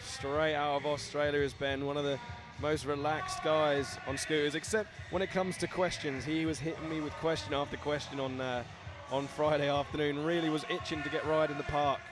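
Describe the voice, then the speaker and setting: Young male commentator, slightly high voice, hyped and giggly, music thumping underneath. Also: moderate pace. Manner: excitable, laughing, shouting out to viewers. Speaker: live commentator and host. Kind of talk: live event commentary.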